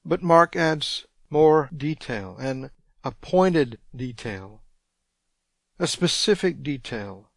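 A man preaching a radio sermon, congested, reading scripture slowly and deliberately. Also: on the high side, animated, creaky, fairly bright tone, no echo, clean studio sound.

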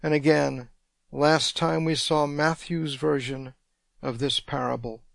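A man preaching a radio sermon, congested, reading scripture slowly and deliberately. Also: fairly high pitch, fairly even intonation, creaky, no echo.

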